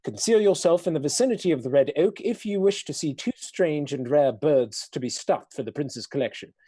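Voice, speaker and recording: A man acting a scheming, commanding earl in a radio play, speaking in a measured, formal way. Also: on the high side, expressive, dark tone, slightly rough. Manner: measured, formal, archaic phrasing. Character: scheming, commanding male Earl, coolly menacing nobleman.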